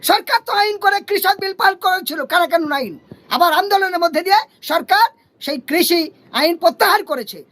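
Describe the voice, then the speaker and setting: Very high-pitched, rapid-fire male activist. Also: sharp tone. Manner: impassioned, combative, emphatic repetition. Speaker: human rights activist. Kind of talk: fiery political speech.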